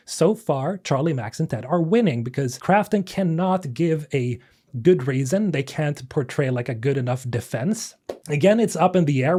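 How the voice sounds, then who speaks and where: A young man with a somewhat high voice talks through gaming news in a loose, animated way. He keeps sounding incredulous, leans on fillers and laughs, and his voice has gone a little raspy and tired. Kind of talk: casual commentary video.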